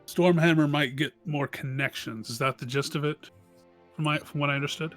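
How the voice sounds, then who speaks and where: A man's voice: on the high side, steady tone, brisk, faint music behind. Hesitant man chatting during a tabletop game. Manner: hesitant, stumbling, thinking aloud. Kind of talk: casual game chat.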